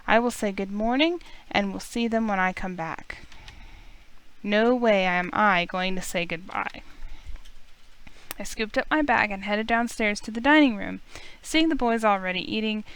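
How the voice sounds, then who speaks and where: A woman reading a visual novel aloud as expressive first-person narration. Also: mid-range voice, unhurried, smooth.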